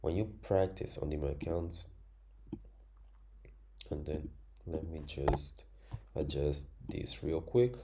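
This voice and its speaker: Very deep-voiced young man, Spanish native. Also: slow pace, long pauses, background noise. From Cuba. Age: 20s. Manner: earnest, plain explaining in imperfect English. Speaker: trading educator. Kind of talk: screen-share tutorial.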